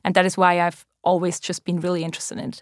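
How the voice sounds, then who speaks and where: A young woman in her twenties speaks with a German accent, in a slightly low voice. She answers interview questions earnestly and thoughtfully, pausing on ums and restarting her sentences. The sound is dry and close. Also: level tone, dry recording. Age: 20s. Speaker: company founder.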